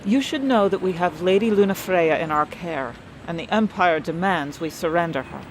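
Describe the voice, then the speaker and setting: A woman's voice: on the low side, moderate pace, slight background noise, close to an everyday voice, low-quality recording. A woman acting in an audio drama as a shrewd, imperious politician with a formal, clipped manner. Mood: cool, businesslike. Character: shrewd, imperious female stateswoman, coolly bargaining.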